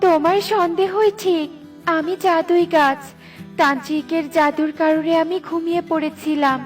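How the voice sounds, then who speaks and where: A woman's voice: very high-pitched, fairly even intonation, moderate pace, far from the mic, faint music behind. A woman voicing a solemn, benevolent magic tree in a cartoon, protective of the poor and stern to the greedy. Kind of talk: cartoon voice acting.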